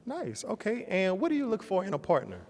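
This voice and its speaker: A man's voice: high-pitched, wildly swooping pitch, brisk, a little mellow. A man hosting a dating game show, upbeat and keeping things moving on a handheld mic. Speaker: host. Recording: echoey hall.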